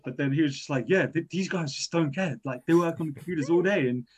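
A man's voice: on the high side, fast talker, breathy edge. A young man whose first language is Persian, an engineering student talking casually in a rambling, self-deprecating way with lots of fillers. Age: young adult. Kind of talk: casual podcast chat. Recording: dull lo-fi sound.

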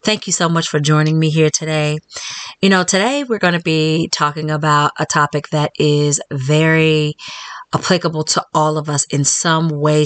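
A woman in her thirties hosting a solo wellness podcast, talking earnestly and reflectively, slowing down for spoken affirmations. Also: deep voice, no room sound. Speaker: podcast host.

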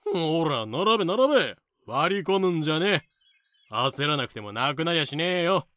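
A man playing a blunt, gruffly caring plantation overseer in a fan audio drama. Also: on the high side, lively intonation, moderate pace, sharp tone, no room sound. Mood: loud, hearty. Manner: rough, blunt masculine speech. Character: rough plantation overseer, gruffly caring, deferential to his mistress.

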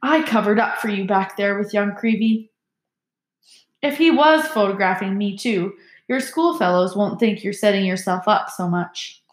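A woman's voice: mid-range voice, normal speed, lightly acted. A vain celebrity schoolmaster played by a woman, pompous and jovial, patronising everyone with showy self-importance. A storybook character heard in a roomy, echoing space. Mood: paternal, smug.

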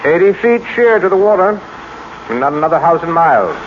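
Cold commanding businessman type, old radio play, slightly high voice, muffled hissy sound. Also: very animated, fairly quick. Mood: smooth, quietly menacing. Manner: curt, commanding. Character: cold, curt, scheming businessman husband. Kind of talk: old-time radio drama acting.

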